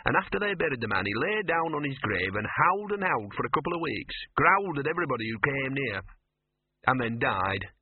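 A man's voice: mid-range voice, sing-song, a little fast, breathy edge. A man voicing a cynical, streetwise talking dog in a storybook, grumbling and colloquial. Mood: matter-of-fact. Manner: grumbling, colloquial, world-weary. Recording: muffled radio-like narrow band, roomy.

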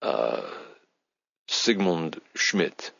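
A man's voice: lowish voice, slow, dry recording, dull audio. A man voicing an eager, awkward German tourist who speaks broken English in short blunt phrases with a German accent. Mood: uneasy. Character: Becker posing as bumbling German male tourist, eager and awkward.

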